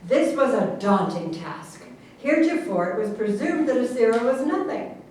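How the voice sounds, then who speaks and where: A woman in a staged reading playing an earnest, pompous government official who lectures with deliberate emphasis. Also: fairly high pitch, very animated, a little mellow. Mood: earnest lecturing. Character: government official earnestly explaining absurd secret science. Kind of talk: theatrical staged reading of a satirical play. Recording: very echoey room, distant mic, faint noise.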